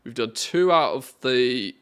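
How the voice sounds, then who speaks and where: A man from England with an English accent talks off the cuff as a livestreamer. His voice sits at an average pitch for a man. His delivery is informal and joking, often at his own expense. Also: lively intonation, a little slow, a bit bright, clean tone. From England, born in the northwest, lived mostly in the Midlands. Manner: jokey, self-deprecating. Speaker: game livestreamer. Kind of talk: casual livestream chat.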